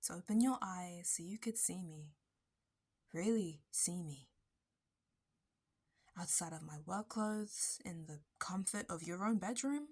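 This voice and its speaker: A woman playing an obsessive, possessive barista kidnapper in an ASMR roleplay, intimate and breathy, slipping between whispers and bitter intensity. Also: lowish voice, a little mellow. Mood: frantic, possessive. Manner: intimate, breathy dropping into whispers, nervous laughs and sudden bitter flares.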